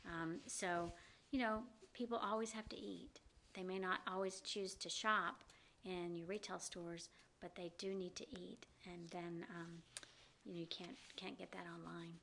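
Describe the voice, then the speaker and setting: A woman's voice: on the low side, steady tone, a little slow, gravelly, pushed and bright, slight background noise. A middle-aged woman with a slight Southern US accent, answering interview questions earnestly with many ums and you-knows. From American South. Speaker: executive director of a downtown organization. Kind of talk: interview guest.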